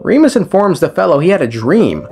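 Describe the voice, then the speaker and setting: A man's voice: on the high side, sing-song, brisk, gravelly, darkish tone. A man giving a casual, joking recap commentary on game lore, with slang and swearing. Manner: irreverent, joking, profane. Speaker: video creator explaining game lore. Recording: music behind the voice. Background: background music.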